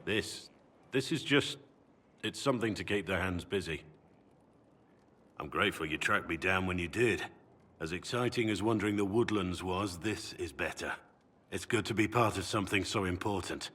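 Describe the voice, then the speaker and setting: A man playing a brooding, honourable middle-aged warrior in a video game, with measured, earnest delivery. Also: low-pitched, fairly bright tone, breathy edge. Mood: earnest, reflective. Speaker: video game character voice actor. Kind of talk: dramatic game dialogue.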